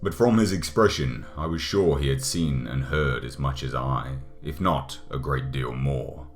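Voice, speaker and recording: A man narrating a gothic horror audiobook in a measured, dramatic manner. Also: extremely low pitch. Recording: music under the voice.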